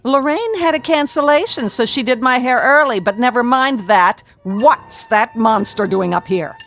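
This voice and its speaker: An actress playing a scornful, sarcastic, nagging wife in a radio drama. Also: female voice, a little high, moderate pace, a bit bright, soft background music. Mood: angry, contemptuous. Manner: biting sarcasm.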